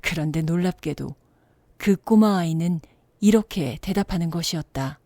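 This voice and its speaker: A woman storyteller reading a scripted bedtime story in a measured, expressive way. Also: lowish voice, no echo.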